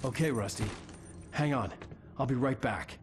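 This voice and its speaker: A man playing a desperate, determined hero in a video game, speaking in an urgent, forceful, curt way. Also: mid-range voice, moderate pace, lightly acted. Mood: urgent concern. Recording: music under the voice.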